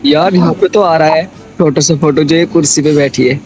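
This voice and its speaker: A man's voice: a little high, fairly even intonation, moderate pace, music in the background. A man from northern India chatting casually in a bashful, hesitant way over game voice chat. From northern India, Meerut area. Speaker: prank target in game chat.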